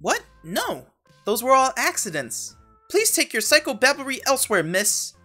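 Woman as young cartoon boy, bright forceful tone, very lively pitch, casual, exclamatory, easily exasperated. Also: normal speed, slightly rough, soft background music, heavily acted. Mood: defensive, irritated. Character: excitable, exasperated young boy chatting online. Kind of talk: web comic voice dub.

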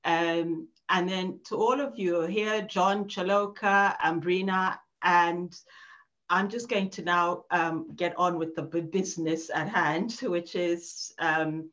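A woman's voice: fairly low pitch, fairly even intonation, slow, fairly bright tone. A middle-aged woman giving a thoughtful academic talk from home on a video call, with frequent ums and restarts. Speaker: author at a book launch. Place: home room. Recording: dull lo-fi video call audio.

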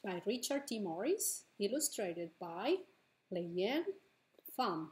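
A middle-aged woman hosting a bilingual storytime as a children's librarian, reading picture books aloud with deliberate phrasing for small children. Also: medium pitch, expressive, slight background noise. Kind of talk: bilingual picture-book read-aloud for preschoolers. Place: living room at home, indoors.

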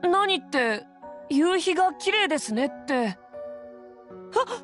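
A man's voice: very high voice, very animated, unhurried, fairly bright tone, full character voice. A man playing a shy, earnest teenage boy in an anime visual novel game, speaking hesitantly and politely with an eager manner. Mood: flustered, shy. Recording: background music under the voice.

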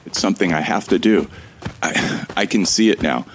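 An actor playing a resigned, weary man who narrates his own doomed flight slowly and gravely in an audio drama. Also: low-pitched, slight background noise, natural voice. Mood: grave, resolute.

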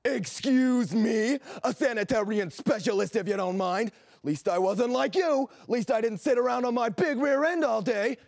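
Cranky old husband, creaky and high-pitched. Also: male voice, normal speed, dry recording, studio quality. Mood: indignant, boastful. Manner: grumbling, indignant. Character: cranky, boastful old husband, a retired garbage man.

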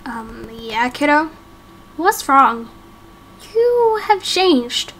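A woman with a high voice voice-acts a roleplay story. She takes her time, delivering the drama in a hesitant, halting way. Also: sing-song, fairly bright tone, slight background noise. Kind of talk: amateur voice acting for a game roleplay story.

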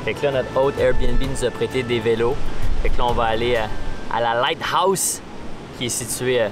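Upbeat Quebec French travel vlogger, man, mid-pitch, music under. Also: steady tone, moderate pace. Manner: upbeat, playful, joking. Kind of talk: casual travel vlog narration. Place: outdoors by the sea. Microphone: camera at arm's length.

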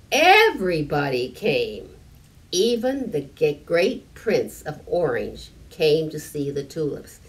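An elderly woman reads a picture book aloud to children in a deliberate, slightly halting manner, adding small explanations. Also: fairly low pitch. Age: 70s or older. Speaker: story time reader. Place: library room with stone fireplace, indoors. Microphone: camera a few meters away. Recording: distant mic, room noise.

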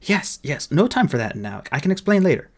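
A man's voice: fairly high pitch, very animated, brisk, pitched above the performer's own voice. A man voicing a clever human boy in an audiobook, speaking formally and earnestly with urgent persuasion.

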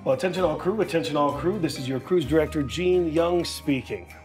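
A man's voice: fairly high pitch, fairly quick. A man as a cruise director giving a rousing, emphatic and ceremonious motivational announcement. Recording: distant announcement, faint music. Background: faint music.

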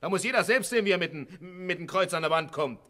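Radio play role: domineering Berlin husband, gruff and sarcastic, bright forceful voice. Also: male voice, high voice, animated, quick pace. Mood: indignant, sarcastic. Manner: blustering, sarcastic, colloquial. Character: stingy, gruff husband refusing insurance, domineering. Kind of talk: 1960s radio play acting.

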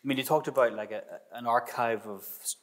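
A middle-aged man interviewing an artist in a gallery, asking measured, thoughtful questions. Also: mid-range voice, steady tone, a crowd in the background. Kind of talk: public conversation.

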